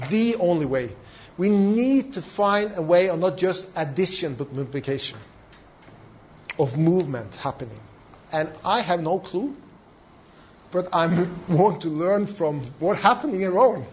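High-pitched Norwegian man giving a seminar. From Norway. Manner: halting non-native English with emphatic repetition. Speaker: church planting trainer. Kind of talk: seminar talk. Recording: dull, lo-fi sound.

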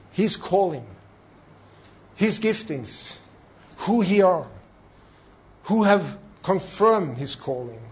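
Male seminar trainer, Norwegian accent, high voice, halting English with emphatic repeats; slightly dull, lo-fi recording. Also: darkish tone. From Norway. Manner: halting non-native English with emphatic repetition. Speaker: church planting trainer. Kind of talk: seminar talk. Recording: dull, lo-fi sound.

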